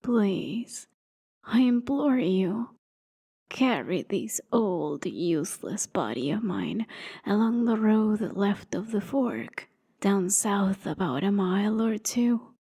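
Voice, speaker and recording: Woman acting a slow, feeble old lady traveler. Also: mid-range voice, expressive, mellow, husky, heavily acted. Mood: weary, pleading. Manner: slow, hesitant, pleading. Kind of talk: ASMR fantasy roleplay.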